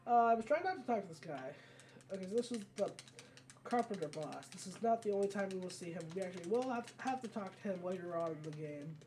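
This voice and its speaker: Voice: medium pitch, lively intonation, fast, darkish tone, a little hiss or hum. A let's play host giving casual, rambling, conversational commentary over a video game.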